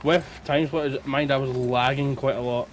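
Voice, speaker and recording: A man co-hosting a casual gaming podcast, talking in a halting way and often repeating his words. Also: medium pitch, steady tone, soft background music. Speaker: podcast co-host. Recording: faint background noise.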